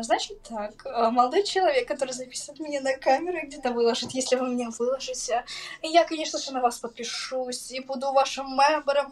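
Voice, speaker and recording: A young woman in her twenties with a high voice answers questions in a defensive, flustered way. She giggles often and talks back casually while being filmed. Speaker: interviewee. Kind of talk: on-camera street conversation.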